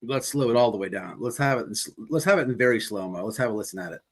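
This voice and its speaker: A man's voice: mid-range voice, racing. A man hosting a livestream, giving casual, mocking commentary in a profane, repetitive, rambling way.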